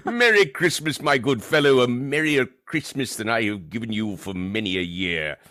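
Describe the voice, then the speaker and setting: A man's voice: mid-range voice, lively intonation, a little slow, fairly bright tone, dry recording, clean studio sound. A man playing a reformed old miser in a radio play, theatrical and teasing, with chuckles in his delivery. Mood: gleeful, merry. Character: reformed old miser, gleeful, mock-stern then merry. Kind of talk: stage-style radio play acting.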